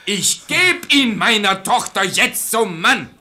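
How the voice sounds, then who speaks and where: A man's voice: sits high, very animated, takes it slowly, pushed and bright, heavily acted. An actor playing a stubborn, gullible, hot-tempered father in a classical verse comedy radio play, emphatic and blustering in a theatrical way. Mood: furious, defiant.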